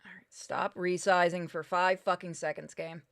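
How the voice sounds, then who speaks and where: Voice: mid-range voice, flat delivery, moderate pace, creaky, noisy background. A game streamer in casual let's-play chat, playful and sweary. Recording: game music behind the voice.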